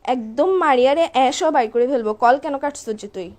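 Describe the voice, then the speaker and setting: High-pitched, fast-scolding young Bangladeshi woman. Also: darkish tone. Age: young adult. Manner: scolding, indignant, nagging. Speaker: girlfriend on a phone call. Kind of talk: casual comic phone argument.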